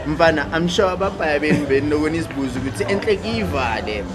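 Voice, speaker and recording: A young South African man with a South African accent, co-hosting a podcast and arguing his point in lively, laughing, code-switching banter. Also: on the high side, quick pace. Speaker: podcast co-host.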